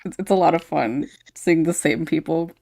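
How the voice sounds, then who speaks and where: A woman's voice: sits low, moderate pace, vocal fry, a little mellow, no room sound, faint music behind. A woman hosting a podcast, moderating the discussion in a casual, conversational way. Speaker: podcast host.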